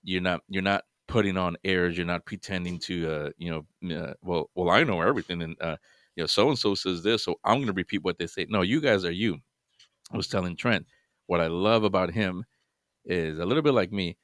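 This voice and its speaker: A man's voice: deep voice, a little fast, fairly bright tone, dry recording, studio quality. A man guesting on a podcast, giving earnest advice in casual chat, often restarting his sentences.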